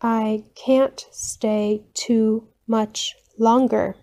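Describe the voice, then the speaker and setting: A woman reading short English phrases for learners, each one slowly and then again at normal speed. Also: a little high, flat delivery, warm tone.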